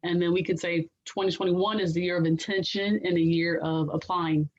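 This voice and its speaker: A woman co-hosting a casual podcast, with a raspy, nasal voice that is a bit hoarse, chatting with many fillers. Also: lowish voice, fairly even intonation, moderate pace, muffled recording. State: hoarse, recovering. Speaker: podcast co-host. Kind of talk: casual chat.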